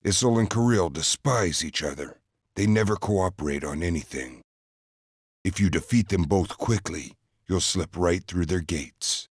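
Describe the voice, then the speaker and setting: Deep, slow, cryptic warrior monk. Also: male voice, husky, no echo, clean studio sound. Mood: solemn instructing. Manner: measured, solemn, portentous. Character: cryptic, serene half-mad warrior monk ninja. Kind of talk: fantasy game voice acting.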